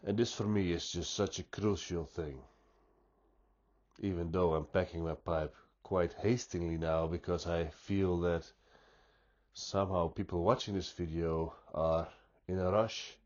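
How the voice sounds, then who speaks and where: A man with a stuffy nose giving an unscripted, reflective monologue, speaking deliberately and often restarting his sentences. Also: low-pitched, steady tone, a little mellow, highs cut off. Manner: slow, deliberate, thoughtful, with frequent restarts. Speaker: pipe-smoking vlogger.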